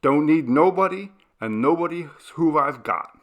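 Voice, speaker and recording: Smug, selfish male loner for horror audiobook, acted by the male narrator: raised pitch, forceful, defiant. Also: lively intonation, unhurried. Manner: muttering to himself, self-satisfied.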